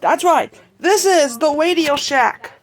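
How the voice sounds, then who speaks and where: Someone casually showing off a collection in a home-made vlog, talking in a halting, rambling way. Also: sits high, lively intonation. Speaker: video creator showing a radio collection. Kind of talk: casual show-and-tell vlog.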